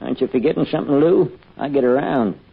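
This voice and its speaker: An actor playing a wry, knowing confidant in an old-time radio drama, with a raspy voice through a thin filter. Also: male voice, medium pitch, fast talker. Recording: tinny, band-limited radio sound.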